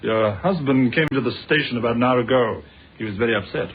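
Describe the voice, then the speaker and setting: Actor as a measured British detective inspector, tinny sound. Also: male voice, medium pitch, lightly acted. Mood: sceptical, courteous. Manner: measured, polite, deliberate questioning. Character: calm, courteous, sceptical police detective inspector. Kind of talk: old-fashioned British radio drama acting. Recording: tinny, noisy old radio recording.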